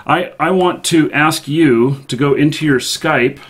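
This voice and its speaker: A man hosting a livestream in casual chat, with a halting, hesitant delivery. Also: fairly high pitch, a bit bright, breathy edge. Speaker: live show host.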